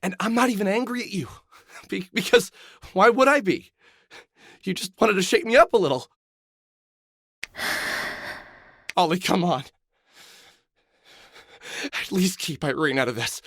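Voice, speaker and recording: A man's voice: high voice, lively intonation, unhurried, pauses often, a bit bright, husky, no room sound, exaggerated voice. An actor playing a desperate middle-aged man in a dramatic video game, stammering and pleading. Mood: panicked, pleading.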